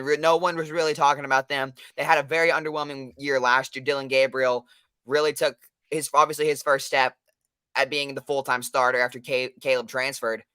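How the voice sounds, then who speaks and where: Hoarse, hyped young sports podcaster. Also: male voice, medium pitch, dry recording. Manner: hyped, energetic. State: hoarse from losing his voice. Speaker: podcast co-host. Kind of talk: casual sports podcast chat.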